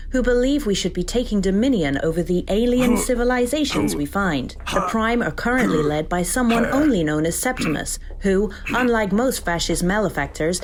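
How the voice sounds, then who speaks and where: A woman plays a female AI guide living in an agent's head. She briskly gives instructions with wry condescension and very varied intonation. There is clear noise behind her. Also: mid-range voice, natural voice. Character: female AI neural implant, brisk, wry, bossy assistant. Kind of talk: scripted audio drama parodying video game tutorials.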